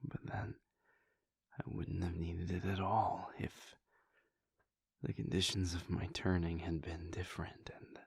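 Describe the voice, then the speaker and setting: A man voicing a brooding, conflicted male vampire prince in a whispered audio roleplay monologue, hesitant and breathy. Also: low-pitched, level tone, measured pace, exaggerated voice. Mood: wistful. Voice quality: gravelly, breathy. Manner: soft whispering, hesitant restarts.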